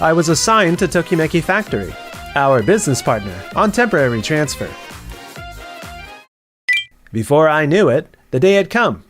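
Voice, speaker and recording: Man acting calm young factory hand over music. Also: a little high. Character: calm, capable young male factory transfer worker. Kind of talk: scripted manga dub narration and dialogue.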